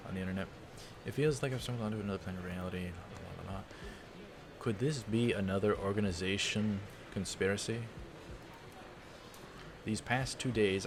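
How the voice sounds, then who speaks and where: Low-voiced gravelly man reading over music. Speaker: let's play host. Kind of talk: reading visual novel text aloud with casual asides. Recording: music behind the voice. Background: game music.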